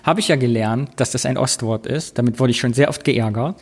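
A man's voice: mid-range voice, a little fast, husky. A man co-hosting a casual podcast, reading listener comments aloud and telling anecdotes in a chatty way. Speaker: podcast co-host.

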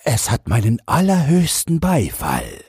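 A man puts on a pompous, insecure emperor from a fairy tale. The speech is drawn out and very slow, swinging widely in pitch with a raspy, breathy tone. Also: huge pitch swings, a little mellow, no echo, clean studio sound, exaggerated voice. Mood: pompous approval. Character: vain, insecure emperor, pompous but secretly worried. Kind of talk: fairy-tale audiobook character voice.

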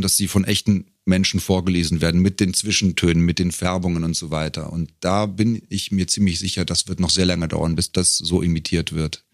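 A man's voice: sits low, dry recording, clean studio sound. A middle-aged man talking casually and reflectively as an interview guest, feeling his way through phrases. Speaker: guest, professional voice actor.

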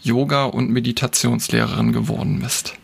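A man's voice: mid-range voice, fairly even intonation, moderate pace, gravelly, breathy edge, soft background music. A man in his thirties hosting a podcast interview, friendly and hesitant with many fillers. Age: 30s. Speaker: podcast host.

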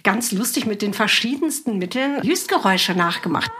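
A woman hosting a podcast in a chatty, informal, gently teasing conversational style. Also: mid-range voice, expressive, normal speed, over loud music. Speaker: podcast host and author. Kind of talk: conversational interview with narrated passages.

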